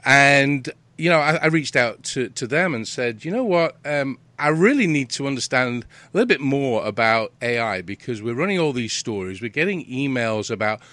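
A man's voice: medium pitch, lively intonation, moderate pace, few pauses, pushed and bright, no echo. A British man hosting a casual interview, rambling, repeating words and restarting sentences. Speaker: host.

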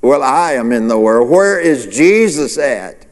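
A man's voice: mid-range voice, expressive, measured pace, long reverb tail. A male pastor preaching a sermon, asking the congregation questions and answering them himself. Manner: preacherly call-and-response, rhetorical questions.

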